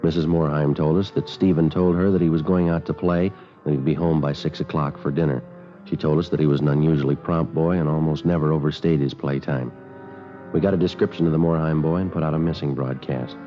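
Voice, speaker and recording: An American man acting a calm police sergeant and narrating a radio drama in a clipped, matter-of-fact, deadpan way. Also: a bass voice, brisk. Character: male Los Angeles police sergeant, calm, businesslike detective. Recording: dull old radio recording with music.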